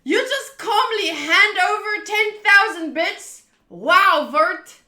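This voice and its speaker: A young woman streaming a game, talking to chat in a playful, animated, jokey way. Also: extremely high pitch, slow pace, reverberant. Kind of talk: casual livestream reaction.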